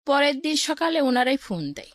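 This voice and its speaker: Higher-pitched woman telling her life story. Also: sing-song, moderate pace, no room sound, studio quality. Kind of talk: personal first-person storytelling in an interview.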